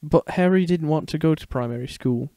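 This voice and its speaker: Male actor as young father, playful, protective, slightly high pitch, close dry recording, storybook drama. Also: brisk, mellow, no room sound, close to an everyday voice. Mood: reading aloud. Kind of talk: dramatic fan fiction reading.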